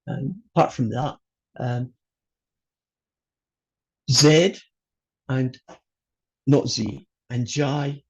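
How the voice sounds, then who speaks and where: A man's voice: a little high, vocal fry, a little mellow, breathy edge, highs cut off. A Scottish man, a college math instructor, introducing his course over a video call in a chatty, joking way. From Scotland. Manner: chatty, joking, loose with fillers. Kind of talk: online class introduction.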